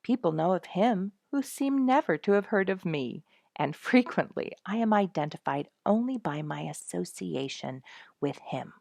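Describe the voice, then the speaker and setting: A woman's voice: fairly low pitch, lively intonation, normal speed, vocal fry, a little mellow, no room sound, clean studio sound. A woman hosting a story reading, narrating a children's book expressively with dramatic emphasis on key words. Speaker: host reading a book aloud to listeners.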